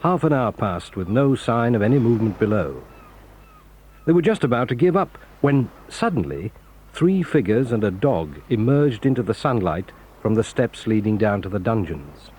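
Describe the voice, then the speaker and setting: A man narrating a children's story in a calm, expressive storytelling manner. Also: lowish voice, normal speed, a little hiss or hum.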